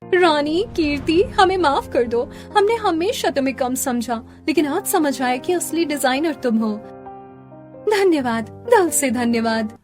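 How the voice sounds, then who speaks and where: A woman's voice: sits high, lively intonation, unhurried. A woman voicing a proud young designer sister-in-law in a Hindi cartoon family drama, speaking in an emphatic, dramatic way. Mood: remorseful. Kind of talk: melodramatic Hindi cartoon story dialogue. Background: music.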